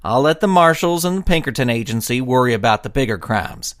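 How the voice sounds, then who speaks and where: An actor playing a steady, dutiful fish lawman in a Western audio drama, measured and folksy with an American Old West flavour. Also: mid-range voice, lightly acted. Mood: guarded, hesitant.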